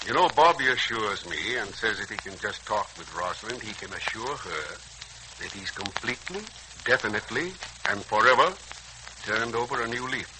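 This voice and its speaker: A man's voice: fairly low pitch, lively intonation, normal speed, natural voice. Man playing a kindly old uncle, scratchy radio. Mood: reluctant, thoughtful. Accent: American. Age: older. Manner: folksy, earnest, avuncular. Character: kindly, modest, philosophical old family uncle. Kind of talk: 1940s radio serial acting. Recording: noisy, scratchy 1940s radio recording.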